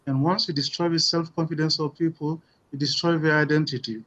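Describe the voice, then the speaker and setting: A man giving an academic lecture at a webinar, measured and dotted with "you know" and "uh". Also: fairly high pitch, fairly even intonation, a bit bright. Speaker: webinar speaker. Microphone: video call.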